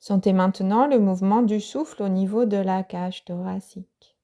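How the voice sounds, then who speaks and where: A woman yoga teacher guiding a yoga nidra relaxation, speaking softly with breathy, half-whispered phrases. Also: medium pitch, steady tone. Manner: slow, soft-spoken guidance with breathy, half-whispered phrases.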